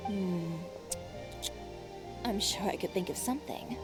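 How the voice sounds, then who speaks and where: A woman acts a cool, confident designer from a video game, speaking at a middle pitch. She is composed, blunt and businesslike, with music playing behind her. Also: measured pace, lightly acted. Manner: composed, businesslike, matter-of-fact.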